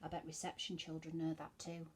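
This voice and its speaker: A woman reading a storybook to young children as a teacher would, expressively, with hushed, chatty asides to her listeners. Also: deep voice, monotone, a little fast, breathy edge.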